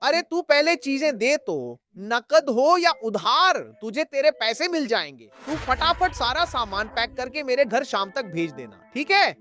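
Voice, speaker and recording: An actor voicing a smooth-talking, commanding cartoon minister who brushes off every objection in a comedy dub. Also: high voice, sing-song, measured pace, few pauses, bright, forceful tone, faint music behind, full character voice. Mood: brash, dismissive. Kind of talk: Hindi animated comedy dubbing.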